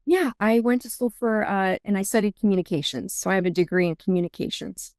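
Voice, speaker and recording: A young woman from Seattle talks through her career and her family in relaxed, rambling sentences full of ums and false starts. Her voice is smooth and clear. It sounds close and dry, with no room around it. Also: mid-range voice, fast, dry recording. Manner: chatty, many ums and restarts. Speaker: guest, intake director at a law firm. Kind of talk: casual interview answers.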